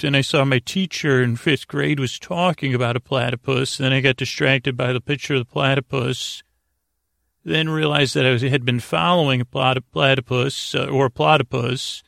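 Creaky-voiced man, meandering podcast host. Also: mid-range voice, fairly even intonation, normal speed, fairly bright tone, dry recording, studio quality. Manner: meandering, circles back, loses his thread. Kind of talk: rambling bedtime podcast monologue.

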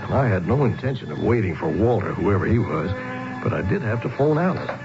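An actor playing a weary, bewildered middle-aged commuter who grows impatient, in a suspenseful radio drama with first-person storytelling. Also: male voice, lowish voice, big pitch swings, brisk, music in the background. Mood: tense, alarmed narrating. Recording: dull, noisy 1970s radio broadcast.

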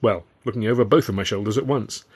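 A man's voice: fairly low pitch, fast talker, breathy edge. A man reading a scripted first-person story in a wry, literary manner. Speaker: author and audiobook narrator.

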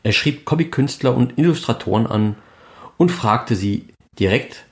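A man's voice: mid-range voice, lively intonation, normal speed. A man narrating a scripted documentary piece as the host of a fan podcast.

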